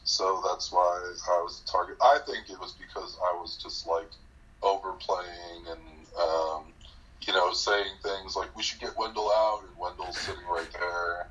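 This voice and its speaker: A young man casually recounting his game in an interview, talking loudly and excitedly with lots of fillers and laughs. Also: deep voice, measured pace, dull audio. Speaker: eliminated contestant guest.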